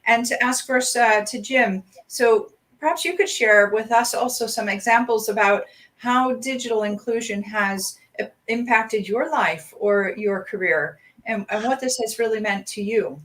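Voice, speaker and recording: A woman's voice: a little high, a little slow, a bit bright. Woman moderator, gracious, steers questions, video call audio. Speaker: panel moderator. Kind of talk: panel discussion talk.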